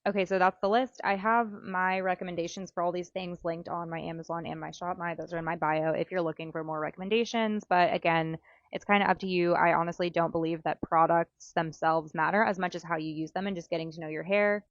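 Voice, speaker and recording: A woman's voice: fairly low pitch, fairly even intonation, fairly quick, no room sound, highs cut off. A woman giving casual, chatty advice as a hair care vlogger, running through recommendations with informal emphasis. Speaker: hair care content creator.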